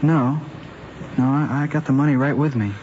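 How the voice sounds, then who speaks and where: A man's voice: medium pitch, steady tone, moderate pace, darkish tone, close to an everyday voice. A young man with an American accent playing an eager, trusting teenage boy in a vintage film, talking in casual youthful slang. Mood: agreeable, hesitant. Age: young adult. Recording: muffled vintage film soundtrack, clear noise.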